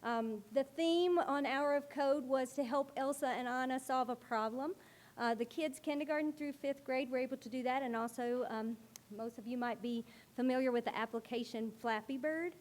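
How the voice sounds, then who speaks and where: A woman school librarian giving a presentation to a board meeting, with a loud, carrying voice and an earnest, explanatory manner. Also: sits high, normal speed.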